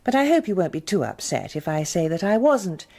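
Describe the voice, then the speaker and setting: An actress playing a cool, shrewd blackmailing wife in a radio drama, poised and insinuating, with a British accent. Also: female voice, fairly low pitch, big pitch swings, fairly quick, mellow. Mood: cool, guarded.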